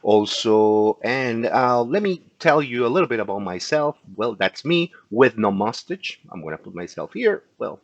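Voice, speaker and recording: A man's voice: mid-range voice, sing-song, normal speed, few pauses, no room sound, dull audio. A man from Peru with a Peruvian Spanish accent, Spanish his first language, giving a chatty recorded lesson and often ending sentences with "okay?". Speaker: insurance agent teaching a class. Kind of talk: casual explanatory video lesson.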